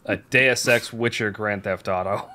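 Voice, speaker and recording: Fairly deep-voiced man, informal podcast talk. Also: steady tone, measured pace, pushed and bright, slight background noise. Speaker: podcast co-host. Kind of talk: casual chat.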